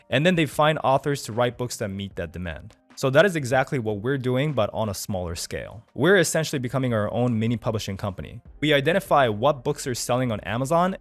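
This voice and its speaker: Upbeat persuasive coach, Japanese native. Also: male voice, mid-range voice, no room sound. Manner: upbeat, persuasive, often adds "okay?". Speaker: online business coach. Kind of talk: scripted persuasive pitch to camera. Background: faint background music.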